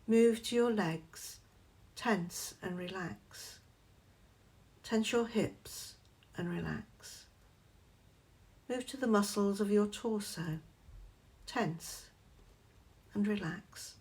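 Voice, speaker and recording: Female meditation guide, medium pitch, very unhurried scripted delivery, gentle and measured.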